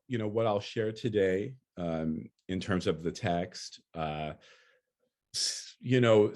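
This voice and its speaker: A man speaking as a guest lecturer in an informal, reflective and conversational way, often halting as he gathers his thoughts. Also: deep voice, a little mellow. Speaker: guest speaker, poet and teacher.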